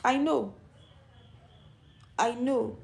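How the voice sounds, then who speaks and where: Young-sounding woman with a gravelly edge. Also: fairly high pitch, extremely slow. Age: middle-aged. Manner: youthful-sounding voice; chatty, reads and answers viewer comments. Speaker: channel host. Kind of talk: casual live stream chat.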